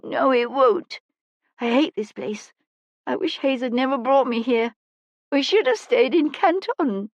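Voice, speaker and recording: A woman's voice: a little high, sing-song, unhurried, slightly rough, no echo, lo-fi sound, pitched above the performer's own voice, full character voice. A woman voicing a frightened, polite young lad in an audiobook, with a small, shaky, pleading voice. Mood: despairing.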